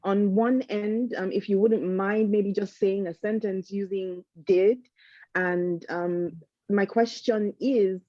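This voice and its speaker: A woman, a book club member, asking the author a question in a hesitant, polite way with many pauses, over a video call. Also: mid-range voice, steady tone, mellow, dry recording. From Nigeria. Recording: dry, slightly muffled call audio.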